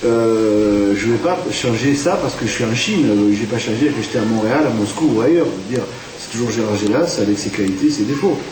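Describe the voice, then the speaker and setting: A man's voice: lowish voice, animated, brisk, darkish tone. A man from France, a theatre director, talking in a radio interview with many fillers and restarts. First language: French. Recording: distant, echoey room with faint hiss.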